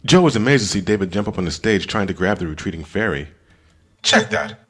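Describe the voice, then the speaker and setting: Expressive, low-pitched male audiobook narrator. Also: breathy edge. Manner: expressive storytelling with deliberate pauses. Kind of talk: dramatic scripted narration.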